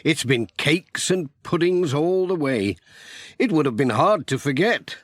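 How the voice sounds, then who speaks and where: A man's voice: a little high, lively intonation, a little slow, no echo, studio quality, pitched above the performer's own voice. A man voicing a kindly, polite village postman who is easily flustered, in a children's storybook. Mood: cheerful, chatty.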